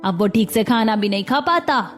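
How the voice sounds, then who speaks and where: A woman's voice: on the high side, animated, normal speed, faint music behind, close to an everyday voice. A woman playing a kindly old grandmother who gives soothing, cautionary advice in a cartoon story. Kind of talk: Hindi cartoon story dialogue.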